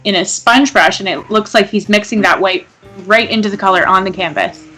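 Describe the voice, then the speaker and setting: A woman's voice: mid-range voice, fairly quick, bright, forceful tone, soft background music, low-quality recording. A woman co-hosting an online live painting contest, chatting in an upbeat way with many fillers. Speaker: co-host and commentator.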